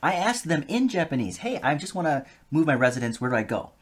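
An animated man talks in a relaxed interview, and his pitch rises and falls a lot as he gets excited. He laughs easily and speaks with eager enthusiasm. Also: fast. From United States. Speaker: guest, polyglot. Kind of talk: casual interview conversation.

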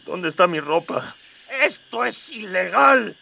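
A man's voice: high-pitched, lively intonation, slow pace, vocal fry, pushed and bright, full character voice. A man playing a bewildered, indignant police officer with a Mexican accent, flustered and colloquial, in a comedic radio drama. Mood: panicked. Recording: distant miking, music underneath.

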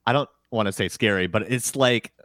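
A man with a very low voice hosts a casual sports podcast. He rambles easily and chuckles between thoughts, recorded close and dry. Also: brisk, no room sound. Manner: relaxed, rambling, chuckles often. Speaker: podcast host.

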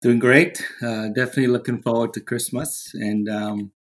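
A middle-aged man from Trinidad, a podcast guest chatting warmly and pausing often with fillers. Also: medium pitch, fairly even intonation. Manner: warm, talks with many fillers and pauses. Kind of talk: casual interview chat.

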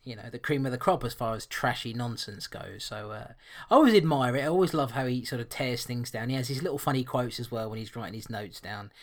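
A man's voice: medium pitch, fast talker. A man from co-hosting a podcast, chatting casually, joking and often laughing as he reads out a listener's email. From England, London. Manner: laughing, animated, self-deprecating banter. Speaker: podcast co-host.

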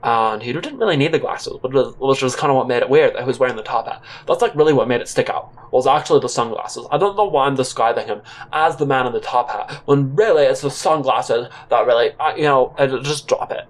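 Male narrator for comic audio fiction, average pitch, awkward and digressive, talking as if thinking aloud. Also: a little fast, few pauses. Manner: rambling, awkward, conversational. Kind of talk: comic first-person scripted narration.

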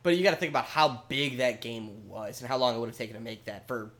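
A man co-hosting a casual podcast, explaining at length in an animated, rambling way with casual swearing. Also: mid-range voice, quick pace. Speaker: podcast co-host. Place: home basement studio.

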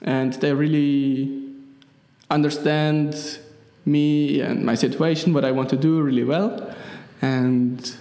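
A young Swiss man with a Swiss German accent speaks slowly as an interview guest. His somewhat high voice carries a gravelly fry, and he pauses and restarts often. He sounds echoey, with a long reverb tail from the room. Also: flat delivery, mellow. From Switzerland. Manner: hesitant, many fillers and restarts, laughs easily. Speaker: guest, app developer. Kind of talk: casual interview chat.

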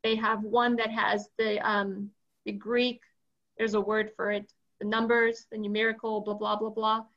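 A woman's voice: medium pitch, little pitch movement, normal speed, fairly bright tone. A woman sharing a tip in a class discussion, informal and a little giggly. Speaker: class participant. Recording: muffled, lo-fi.

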